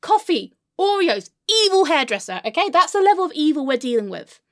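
A woman's voice: high-pitched, sing-song, fairly quick, a bit bright, exaggerated voice. A woman playing a melodramatic, irrational, foul-mouthed character in a comedic monologue, histrionic and swinging between sulky muttering and wailing. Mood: stubbornly indignant. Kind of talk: comedic monologue to an unheard friend.

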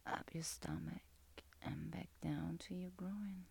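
A woman playing a seductive, teasing, dominant lover in an intimate audio roleplay, breathy and commanding, often dropping into a whisper. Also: lowish voice, big pitch swings, slow, darkish tone, no room sound, exaggerated voice. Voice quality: breathy, husky.

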